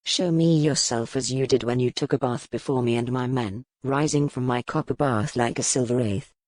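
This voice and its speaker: An actor playing a stern, brooding medieval duke in an audiobook, with a commanding, formal manner. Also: male voice, mid-range voice, moderate pace, dry recording, lightly acted. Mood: taunting, commanding. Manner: commanding, formal period speech. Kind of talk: dramatic audiobook character acting.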